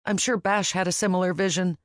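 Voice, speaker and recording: A woman's voice: lowish voice, fairly even intonation, a little fast, dry recording, clean studio sound, pitched above the performer's own voice, close to an everyday voice. A woman voicing a stubborn, fiery young queen who talks with defiant emotion.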